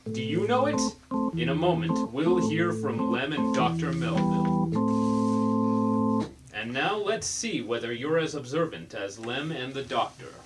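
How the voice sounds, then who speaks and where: A man's voice: medium pitch, big pitch swings, a little slow, over loud music. A man delivering a scripted announcement as the host of a radio mystery.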